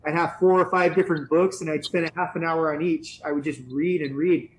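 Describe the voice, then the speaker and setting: A man's voice: on the high side, steady tone, fairly quick, a little hiss or hum, rough recording. A middle-aged man, a Spanish teacher, answering interview questions casually, rambling with many fillers and restarts. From San Francisco area.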